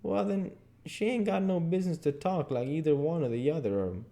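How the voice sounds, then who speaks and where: A man's voice: fairly high pitch, a little fast, creaky, warm tone, pitched above the performer's own voice. A man voicing a stubborn, uneducated Southern man in an audiobook, with a folksy dialect and insistent reasoning. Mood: stubborn, argumentative. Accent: American South. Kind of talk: audiobook character dialogue.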